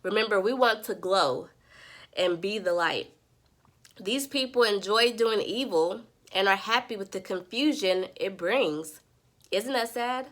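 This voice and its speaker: A woman's voice: medium pitch, a little slow, fairly bright tone. A woman who sounds congested from a sinus infection, earnestly summarizing scripture in a devotional vlog. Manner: earnest, preacherly teaching. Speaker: Bible study vlogger.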